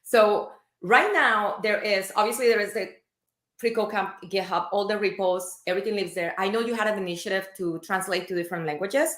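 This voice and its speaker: A woman's voice: medium pitch, brisk, fairly bright tone. A woman hosting a livestream, upbeat and enthusiastic, talking straight to viewers. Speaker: livestream host. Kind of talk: casual livestream interview. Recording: echoey room.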